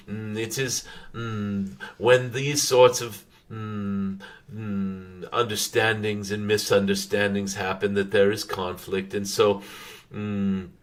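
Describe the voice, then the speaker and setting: A man channeling a wise, benevolent dragon spirit in a spiritual message, speaking in formal, measured phrases broken by thoughtful hums. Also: lowish voice, sharp tone. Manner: formal, archaic-tinged phrasing. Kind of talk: channeled spiritual message, slow unscripted address to listeners.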